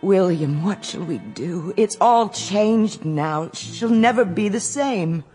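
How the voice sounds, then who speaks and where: An actress playing a middle-aged wife in a radio drama, with music beneath. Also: female voice, mid-range voice, lively intonation, slow pace, warm tone. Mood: anxious. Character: middle-aged lady of the house, anxious then complacent. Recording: with music underneath.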